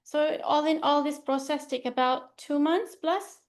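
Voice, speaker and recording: A woman's voice: sits high, measured pace. A young woman from Malaysia, a medical trainee, presenting a report in a casual, loose way with fillers, talking from slides. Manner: informal, loose phrasing with fillers, speaks from slides.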